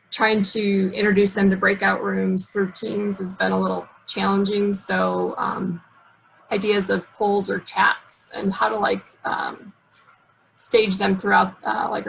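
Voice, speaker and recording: Female attendee from Iowa; gravelly, halting, faint noise behind. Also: medium pitch, a bit bright, rough recording. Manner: hesitant, many fillers. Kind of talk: asking a question on a video call. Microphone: video call.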